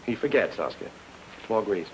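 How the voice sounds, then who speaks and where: A man's voice: lowish voice, expressive, unhurried. A man acting a breezy, wisecracking private detective in a film noir radio drama. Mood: sardonic. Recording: noisy old-time radio recording.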